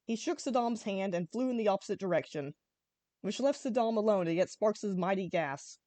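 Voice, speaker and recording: Woman narrating goofy fanfic, expressive, pitch all over, dry sound. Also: normal speed, dry recording. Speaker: story reader. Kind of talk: amateur comic story narration.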